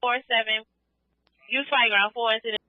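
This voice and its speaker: Flat high-pitched male radio dispatcher. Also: dry recording. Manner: measured, procedural, reads out units and numbers. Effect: two-way radio. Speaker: fire dispatcher. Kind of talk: formal radio dispatch announcements. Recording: phone-like fire scanner radio audio.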